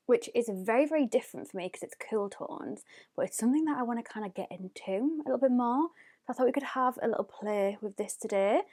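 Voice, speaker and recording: A woman, chatting through a makeup tutorial at home, often dropping to a hushed near-whisper. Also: fairly high pitch, lively intonation, a little fast, no echo, studio quality. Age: 20s. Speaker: beauty YouTuber. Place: home, indoors. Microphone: close mic.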